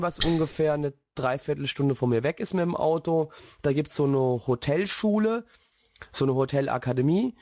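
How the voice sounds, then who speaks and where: A man's voice: a little high, a little mellow, no room sound, clean studio sound. A young man rambling through a personal story in casual podcast chat, with many fillers and restarts. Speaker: podcast host.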